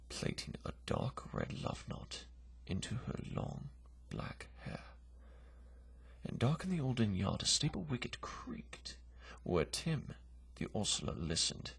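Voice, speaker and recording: Low, breathy man reciting dramatically. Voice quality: breathy, gravelly. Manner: theatrical, swinging between hushed whispers and forceful declamation. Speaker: poetry reader.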